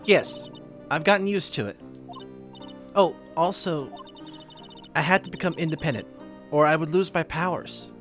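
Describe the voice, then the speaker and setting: Man voicing a high-pitched forlorn young woman medium. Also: moderate pace, pauses often, fairly bright tone, soft background music, lightly acted. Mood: earnest, wistful. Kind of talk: amateur video game voice-over.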